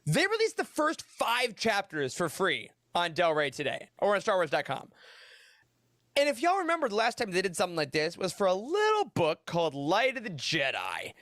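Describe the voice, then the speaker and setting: Male podcast host, high voice, chatty upbeat delivery, dry close sound, casual news chat. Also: wildly swooping pitch, moderate pace, fairly bright tone, no room sound.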